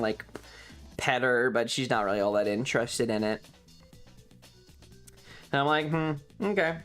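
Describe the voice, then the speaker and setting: A man talks like a streamer chatting to viewers, telling a story in loose, halting pieces. His delivery is wry and informal, with some swearing. Music plays faintly behind his voice. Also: medium pitch, fairly bright tone. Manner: frequent throat clearing. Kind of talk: casual rambling storytelling on a stream.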